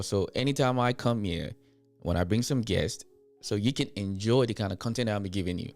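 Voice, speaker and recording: A man with a Nigerian accent hosting a commentary show, talking straight to his viewers, emphatic and repeating his points to drive them home. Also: medium pitch. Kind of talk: reaction commentary, addressing viewers.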